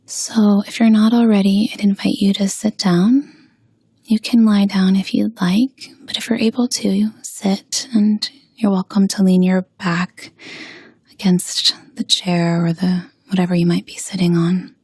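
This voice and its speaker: A woman leading a guided meditation with gentle spoken instruction, pausing slowly and soothingly in a breathy, husky voice. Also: medium pitch, little pitch movement, dry recording.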